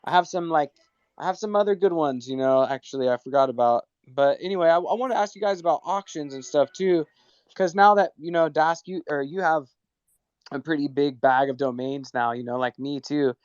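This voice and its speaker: Guy hosting a chill call, smooth voice, kinda muffled lo-fi audio, rambly. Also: a little high, fairly quick, no room sound. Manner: rambling, loose, many fillers. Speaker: host. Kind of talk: casual chat. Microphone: remote call audio. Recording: dull lo-fi online call audio.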